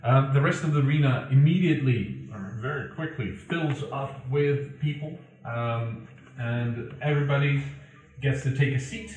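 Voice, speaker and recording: A man narrating a tabletop game as game master, pausing often with ums and uhs. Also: medium pitch, a little mellow. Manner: halting, many ums and uhs. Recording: echoey room, far from the mic.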